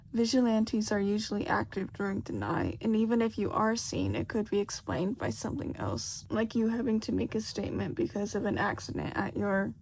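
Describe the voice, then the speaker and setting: A serious, careful male detective in an audiobook story read by a woman. He explains in a measured, businesslike way. The voice is creaky and mid-pitched, on a faintly noisy home recording. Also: a little mellow. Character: serious, methodical male police detective briefing agents.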